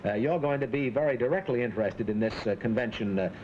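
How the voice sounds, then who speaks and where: Halting male host, old broadcast. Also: medium pitch, dull audio. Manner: halting, hesitant phrasing. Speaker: host and moderator of a panel discussion. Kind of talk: broadcast panel interview, semi-formal. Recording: old 1940s broadcast, faint background noise.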